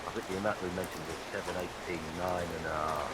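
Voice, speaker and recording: A man's voice: low voice, steady tone, fast talker, close to an everyday voice. An actor playing a stubborn, indignant tradesman, dry and formal, in vintage radio drama style. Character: stubborn indignant tradesman demanding his payment. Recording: hissy vintage radio recording, loud rain.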